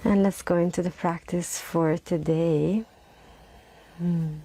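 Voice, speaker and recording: A woman leading a guided meditation in a soothing, unhurried manner, with long pauses, repeated phrases and a soft voice that is breathy at times. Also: fairly low pitch, fairly even intonation, low-quality recording.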